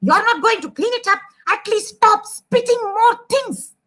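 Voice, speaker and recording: Woman voicing a tiny, furious, high-pitched scolding mouse. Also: expressive, measured pace, sharp tone, heavily acted. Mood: exasperated. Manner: indignant scolding, sputtering repetition. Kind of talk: children's storybook read-aloud.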